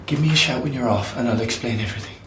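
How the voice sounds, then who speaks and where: An Irish actor playing an embattled, weary newspaper reporter in a radio drama, terse and sardonic. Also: male voice, mid-range voice, rapid-fire, fairly bright tone, a little hiss or hum, close to an everyday voice. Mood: weary, evasive. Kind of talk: naturalistic radio drama acting.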